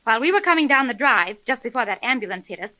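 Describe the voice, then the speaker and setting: A spirited, level-headed lady detective from an old radio serial. Her high voice is bright and forceful, and she speaks in a crisp, assertive way. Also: sing-song, fast talker, rough recording. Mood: businesslike. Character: smart, spirited, level-headed female private investigator. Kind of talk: 1930s radio drama acting.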